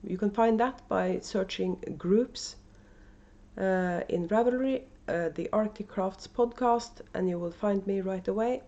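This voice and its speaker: A woman's voice: medium pitch, measured pace, dark tone, highs cut off. A woman with a Norwegian accent chats casually and unscripted as host of a knitting video podcast at home, with many fillers and restarts. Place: at home, indoors. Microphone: camera microphone.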